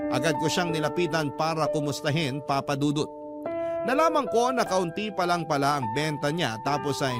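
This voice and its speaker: A man narrating a scripted first-person radio drama story in a measured, sentimental way. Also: on the high side. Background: prominent music.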